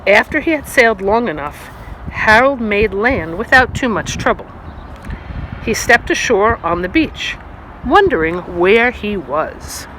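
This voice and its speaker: An expressive storyteller reads a children's picture book aloud, with a lively rise and fall in a mid-pitched voice. The phrasing is made for young listeners, addressing them directly. It is recorded outdoors, with faint ambient noise behind the voice. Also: unhurried, low-quality recording. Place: outdoors on a stone wall by grass.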